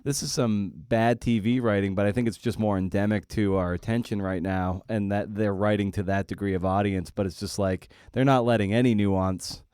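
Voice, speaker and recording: A man hosting a casual interview, speaking in a halting way and repeating words as he forms his thoughts. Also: fairly low pitch, steady tone, a little mellow, clear, resonant tone, no echo, clean studio sound. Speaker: podcast host, interviewer.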